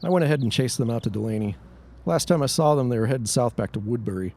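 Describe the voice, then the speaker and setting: A man playing an easygoing, folksy young man in an audio drama, speaking in an animated way. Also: mid-range voice, fast. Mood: amused.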